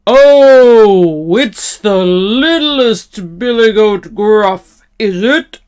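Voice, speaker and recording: Woman putting on a loud, slow, menacing bridge troll. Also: mid-range voice, expressive, clean tone. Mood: menacing, gloating. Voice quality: loud, forceful. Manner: menacing, drawn-out words. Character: big bullying hungry male bridge troll.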